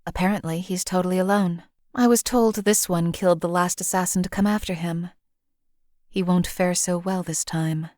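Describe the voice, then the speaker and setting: A woman's voice: lowish voice, steady tone, normal speed, darkish tone, no echo, natural voice. A sardonic female assassin who was once a slave, as a woman voices her, cold and precise. She cuts people down in a dry, condescending way. The studio sound is dead dry and close. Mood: businesslike. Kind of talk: game companion voice lines.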